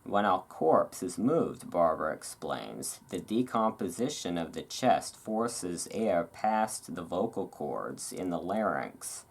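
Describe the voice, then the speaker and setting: Very slow, low-pitched ASMR reader. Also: very animated, vocal fry, slightly rough. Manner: rambling, musing asides between read passages. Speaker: host reading a magazine aloud. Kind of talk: relaxed ASMR reading with casual asides.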